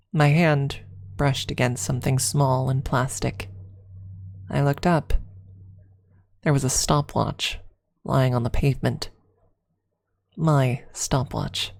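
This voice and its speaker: Really deep voiced woman doing asmr storytelling, lots of fry, slow dramatic pauses, kinda flat pitch. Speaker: streamer reading a story aloud. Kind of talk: ASMR narration of a first-person story.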